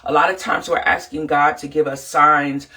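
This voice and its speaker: A woman's voice: sits low, fairly even intonation, normal speed, bright, forceful tone, far from the mic. Congested woman in an earnest, rambling chat. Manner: earnest, rambling, many fillers. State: congested from flu. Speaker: podcast host and counselor. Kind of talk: casual motivational talk.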